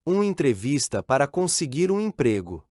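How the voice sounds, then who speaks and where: A man who gives clear, instructive directions in a language course. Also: mid-range voice, very animated, brisk, a little mellow, no room sound, clean studio sound. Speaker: course narrator. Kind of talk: scripted instructions.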